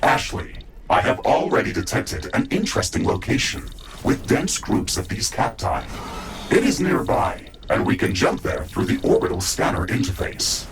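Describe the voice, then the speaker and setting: A man playing a calm, dutiful mecha suit AI in a video game, speaking formally and with measure through a robotic filter. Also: on the low side, normal speed, creaky, soft background music. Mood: informative. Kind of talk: scripted video game dialogue.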